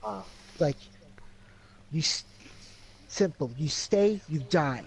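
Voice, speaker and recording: A man with a slightly high voice talks casually as a tabletop game player. There is some faint noise and room ambience behind him. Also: big pitch swings, slow.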